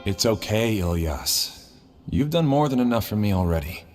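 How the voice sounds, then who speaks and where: Male actor as resigned young student, lowish husky voice, expressive pitch, game dialogue, long reverb. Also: moderate pace, faint music behind, lightly acted. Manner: resigned, placating. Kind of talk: video game voice acting.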